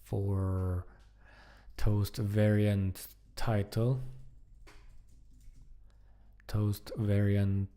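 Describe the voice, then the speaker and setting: A man giving casual live-coding commentary, thinking aloud in a halting, muttering way as he works. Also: on the low side, level tone, mellow. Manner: halting, muttering to himself while working. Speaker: programmer live-streaming a coding project.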